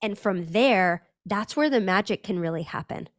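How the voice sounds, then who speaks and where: A woman's voice: medium pitch, expressive, normal speed, dry recording, clean studio sound. A woman from Northern California, a brand strategist talking as a podcast guest, thoughtful and searching, with frequent restarts. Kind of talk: reflective conversational interview.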